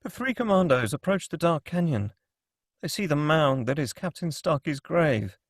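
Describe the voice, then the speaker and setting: Guy narrating a script table read, slightly high voice with some fry, really dry recording. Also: lively intonation, moderate pace, dry recording. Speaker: narrator reading stage directions.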